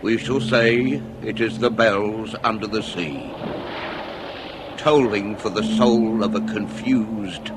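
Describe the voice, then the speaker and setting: An actor playing a formal gentleman with ornate, theatrical phrasing in a period radio drama. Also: fairly low pitch, slow pace, background noise. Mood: solemn, elegiac.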